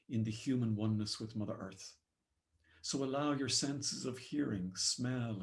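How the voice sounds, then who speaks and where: An Irish man with an Irish accent leading a guided meditation in measured, solemn, poetic phrases. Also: medium pitch, gravelly. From Ireland.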